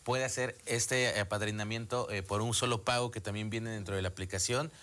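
Guy co-hosting, talks fast, lots of ehs. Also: fairly low pitch, fairly even intonation, a bit bright. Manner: explanatory, filler-heavy. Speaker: co-host. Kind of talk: live telethon chat.

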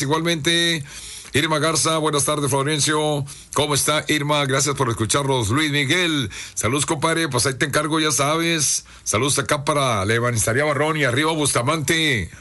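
A hoarse man, hosting a radio show and reading listener greetings in a chummy, casual way. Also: fairly high pitch, pushed and bright. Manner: chummy, reads listener messages aloud. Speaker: radio host. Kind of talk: casual radio shout-outs.

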